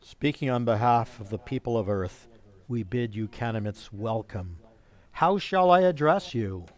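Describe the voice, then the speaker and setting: A man's voice: mid-range voice, lively intonation, unhurried, lightly acted. A man playing a ceremonious, authoritative official who chairs a world assembly in a radio play. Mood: formal, curious.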